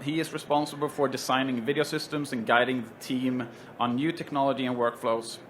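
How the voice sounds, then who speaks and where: A man with a Swedish accent introduces a trade show panel in an easy, joking manner. He often pauses on ums and uhs. A faint hum of the show floor sits behind his voice. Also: medium pitch, a bit bright. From Sweden. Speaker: panel moderator. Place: trade show stage with stands around.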